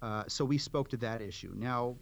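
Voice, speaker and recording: A man giving formal policy commentary as an interview guest, speaking deliberately in short, halting clauses. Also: mid-range voice, gravelly. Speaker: advocacy organization director, interview guest.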